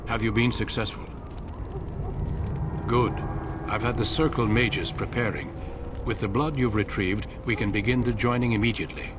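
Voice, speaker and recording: An actor playing a grave, commanding leader of a warrior order in a fantasy game, measured and authoritative. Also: male voice, lowish voice, steady tone, noisy background, natural voice. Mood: grave authority.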